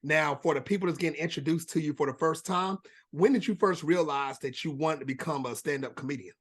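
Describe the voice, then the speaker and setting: A man's voice: fairly high pitch, fairly quick, slight background noise. A man hosting an interview, asking questions and reacting with enthusiasm. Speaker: interview host.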